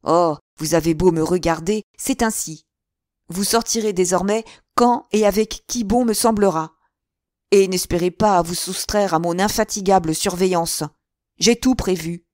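A woman's voice: fairly low pitch, normal speed, a little mellow, dry recording, studio quality, lightly acted. A woman reading aloud, voicing a stern, sneering aristocratic father. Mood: harsh. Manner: harsh and sneering.

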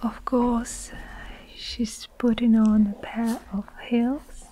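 Very slow, whispering young woman. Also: on the high side, flat delivery, slight background noise, poor audio. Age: young adult. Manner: slow, hushed, deliberate, with long pauses. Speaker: ASMR performer narrating a story. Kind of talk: whispered ASMR story narration.

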